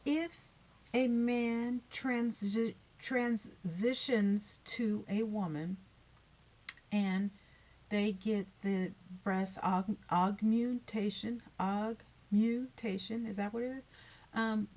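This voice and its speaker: A woman's voice: medium pitch, fairly even intonation, takes it slowly, darkish tone, highs cut off. A woman in her fifties vlogging in a rambling, chatty way, with sighs and whispered asides. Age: 50s. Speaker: YouTube vlogger. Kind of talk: rambling casual vlog.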